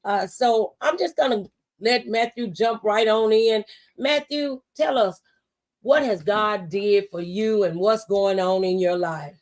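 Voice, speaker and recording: A woman's voice: mid-range voice, a little slow. A woman hosting a faith-based talk show, speaking in an encouraging, testifying way with preacher-like exhortation.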